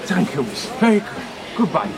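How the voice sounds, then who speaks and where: An actor playing a humble, flustered foreign railway porter in a radio drama, deferential in manner. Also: male voice, high-pitched, sing-song, moderate pace, gravelly, heavily acted. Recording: noisy train station behind.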